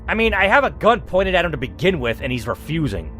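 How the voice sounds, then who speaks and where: A man reading an interactive story aloud, adding animated asides as he reacts. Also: fairly high pitch, fast talker, faint music behind.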